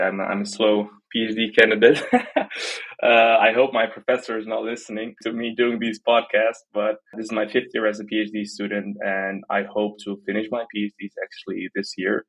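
He is a young man from the Netherlands with a noticeably husky voice that sits on the low side. He talks casually as an interview guest, joking at his own expense. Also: a bit bright, low-quality recording. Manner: self-deprecating, jokey. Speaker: interview guest, art platform team member. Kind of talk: casual podcast conversation.

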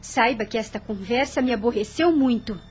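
An actress playing a gentle but firm, loyal young woman in a radio soap, speaking in an earnest, formal way. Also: on the high side, normal speed, close to an everyday voice. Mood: firm, indignant. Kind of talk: radio soap opera acting.

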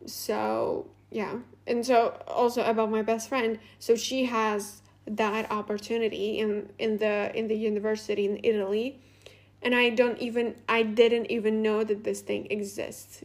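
A woman's voice: mid-range voice, little pitch movement, measured pace. A young woman from Latvia whose first language is Russian, talking casually and unscripted as a podcast host, rambling with many fillers and restarts.

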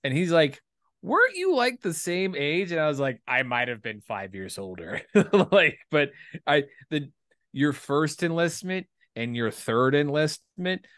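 A man in his thirties talking through writing ideas casually, in a halting, reflective way with some salty language. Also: fairly high pitch, big pitch swings, fairly bright tone, no echo, clean studio sound. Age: 30s. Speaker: writer and performer, military veteran. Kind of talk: casual creative discussion.

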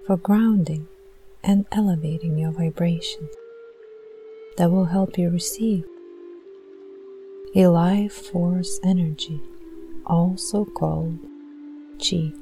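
Slow, low, soothing woman guiding meditation. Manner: gentle, soothing, deliberate with long pauses. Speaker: meditation guide and host. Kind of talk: guided meditation.

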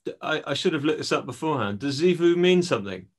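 A man interviewing a guest casually, haltingly rephrasing his questions as he goes. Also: a little high, expressive, husky.

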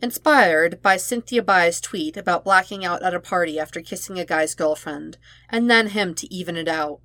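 A female narrator reads fiction in an expressive, scripted style. Her voice sits a bit low, and the recording is very dry, with almost no room sound. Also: moderate pace, no echo. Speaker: podfic narrator.

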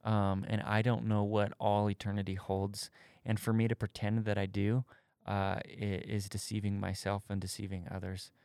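Thirties guy w a gravelly low voice, thinking out loud, dry close mic. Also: flat delivery, normal speed, dry recording. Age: 30s. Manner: thoughtful, candid, searching for words. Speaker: podcast co-host answering faith questions. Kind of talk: casual conversation.

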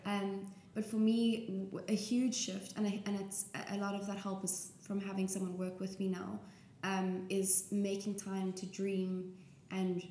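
A woman speaks as a guest in a casual interview, candid and conversational. Her voice sits at a middling pitch, in a roomy, echoing space with some background noise. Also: little pitch movement, measured pace, dark tone. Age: 20s. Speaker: interview guest, small business owner.

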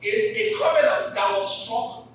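A man's voice: very high-pitched, very animated, unhurried, fairly bright tone. A man preaching a church sermon, emphatic and repeating phrases as he asks the congregation questions. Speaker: pastor. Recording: distant mic in an echoey hall with background noise.

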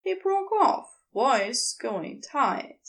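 A woman's voice: high voice, big pitch swings, drawn-out words, a bit bright. A woman narrating scripted fiction as a storyteller.

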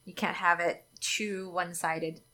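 Bright-voiced chatty young woman. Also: lowish voice, flat delivery, measured pace. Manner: chatty, candid, laughs often. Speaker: podcast co-host. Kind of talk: casual chat.

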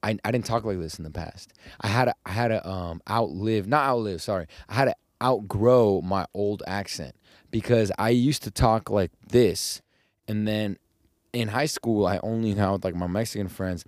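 A man's voice: moderate pace, dry recording, studio quality. A young man chatting casually on a podcast, telling stories with lots of slang. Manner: slangy, animated storytelling, jumps into high mock voices when quoting. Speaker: podcast host.

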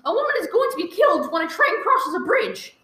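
A frantic invalid wife in a radio play, acted by a woman, pleading and stammering in terror. Her voice is very high and swings widely, with an echoey room around it. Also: big pitch swings, a little fast, sharp tone, full character voice. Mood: upset, insistent. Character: panicked invalid wife alone in her house. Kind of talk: live radio play acting. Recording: roomy, echoey, faintly noisy live recording.

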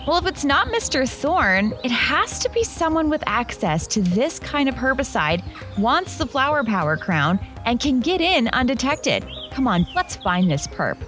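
A woman playing a confident, determined detective with a brisk manner in a comic radio mystery. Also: sits high, very animated, a bit bright, soft background music. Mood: determined, triumphant. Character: determined, confident female detective, wryly punning.

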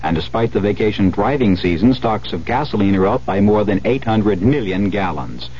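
Deep-voiced American newsman on old radio. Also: expressive, normal speed, a little mellow. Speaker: radio news reporter. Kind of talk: scripted news bulletin. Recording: muffled, hissy 1970s radio recording.

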